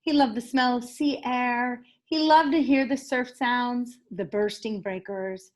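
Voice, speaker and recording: A woman with a fairly high voice reads a picture book to children. Her delivery is enthusiastic and expressive, placing dramatic emphasis on key words in the manner of a teacher at story time. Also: a little slow.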